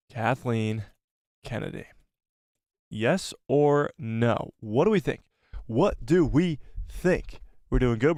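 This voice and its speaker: A man with a cold, losing his voice, hosting a casual live stream; chatty and excitable, he greets viewers and reads out the chat. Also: medium pitch, no echo, studio quality.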